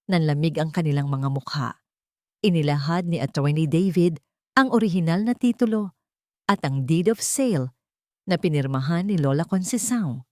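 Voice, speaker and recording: A woman reading a scripted first-person dramatic story in a measured, expressive way. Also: low voice, no room sound, clean studio sound. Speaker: audio story narrator.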